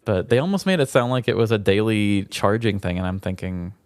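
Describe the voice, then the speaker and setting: A man's voice: fairly low pitch, lively intonation, fairly quick, clean tone, no room sound. A man co-hosting a podcast, talking in a casual, comedic, conversational way. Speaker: podcast co-host.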